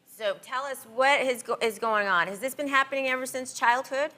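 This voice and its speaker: A woman's voice: high-pitched, moderate pace, pushed and bright. A female doctor on a TV talk show, chatting with a caller in an encouraging way. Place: TV studio.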